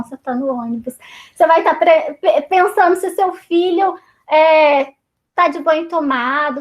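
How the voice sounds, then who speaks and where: A woman with a Brazilian Portuguese accent, a teacher leading an online reading circle, chatty, with many fillers and restarts. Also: high-pitched. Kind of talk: online class discussion. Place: home, video call. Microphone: computer mic on a video call.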